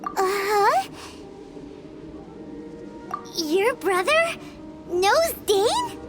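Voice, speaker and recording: Very high, squeaky little fairy companion. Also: female voice, very slow, exaggerated voice. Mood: startled, anxious. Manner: expressive, exclamatory, chirpy. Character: tiny childlike fairy companion, female, chatty, excitable, easily frightened. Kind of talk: video game voice acting. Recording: loud music under the voice.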